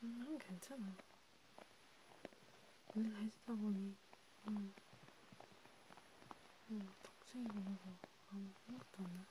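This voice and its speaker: A woman whispering softly and breathily in a gentle, soothing ASMR roleplay, with pauses and murmured acknowledgements, as if chatting to one listener. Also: mid-range voice. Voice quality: whispery, breathy soft speech. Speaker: ASMR creator, host. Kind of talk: ASMR hand-massage roleplay, soft one-sided chat. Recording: clear steady background hiss, ambient noise.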